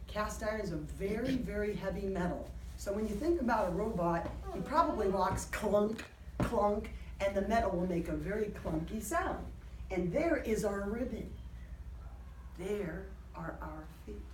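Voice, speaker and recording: A woman teacher reading a picture book aloud to young children, animated and encouraging, stopping for simple questions and explanations. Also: medium pitch, moderate pace. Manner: animated, encouraging, acts out sound effects. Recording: roomy, distant mic, faint background noise.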